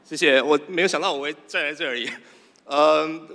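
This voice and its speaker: A middle-aged man with a Taiwanese Mandarin accent giving halting, moved thanks as an award winner. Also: a little high, bright, forceful tone. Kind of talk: acceptance speech. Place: large indoor theatre stage. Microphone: stand microphone. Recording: reverberant theatre stage sound.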